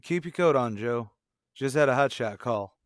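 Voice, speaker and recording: A man playing a gruff, hard-boiled police detective in an old-time radio drama, speaking in terse lines. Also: mid-range voice, lively intonation, moderate pace, no echo, studio quality, natural voice. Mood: urgent. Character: gruff, dogged male police detectives on night duty.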